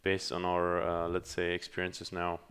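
Man from germany, podcast host, halting delivery, deep voice, smooth clear tone. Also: completely flat delivery, a bit bright. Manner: halting, many fillers and restarts. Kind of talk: casual podcast chat.

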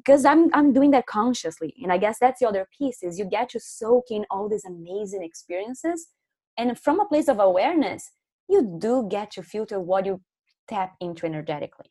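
A woman's voice: medium pitch, lively intonation, a little fast, a little mellow. Brazilian-accented woman, earnest podcast guest. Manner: earnest, reflective, spiritual vocabulary. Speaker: podcast guest, energy coach. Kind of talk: casual podcast conversation.

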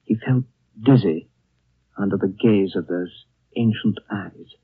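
Thoughtful, grave storyteller, low-pitched, atmospheric pauses, dry old-time radio drama sound. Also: male voice, husky, dry recording. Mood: grave, hushed wonder. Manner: deliberate, atmospheric, pausing phrases. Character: grave, thoughtful male narrator retelling a friend's tale. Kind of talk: 1930s radio drama narration. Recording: dry, narrow-band old radio broadcast.

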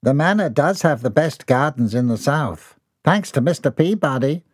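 A man's voice: a little high, lively intonation, moderate pace, slightly rough, no room sound. A stiff butler answers in clipped, formal politeness with an edge of resentment, as a man puts him on. His voice sits a bit above normal and sounds dry and close. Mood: stiffly polite.

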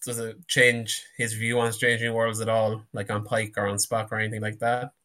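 A man's voice: lowish voice, monotone, normal speed, bright, forceful tone. A man chatting casually as a podcast panellist, tentative and polite in how he puts his points. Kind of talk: casual chat.

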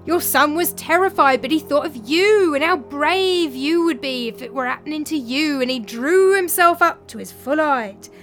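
A woman acts out a scheming manservant in a storybook tale, urgent and melodramatic. The voice is high and bright, stretching words out for effect as he pleads and exaggerates. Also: expressive, normal speed, hardly any pauses, faint music behind. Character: desperate scheming male servant spinning a ghost tale. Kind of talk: dramatised storytelling.

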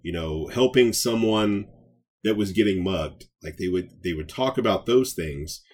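A man's voice: sits low, expressive, unhurried. A man speaking as a podcast co-host in a casual review chat, conversational and blunt about his opinions.

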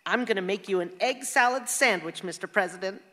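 An actress playing a bossy but hospitable wife in a radio play, plainspoken and quick to scold. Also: female voice, mid-range voice, bright, forceful tone, long reverb tail.

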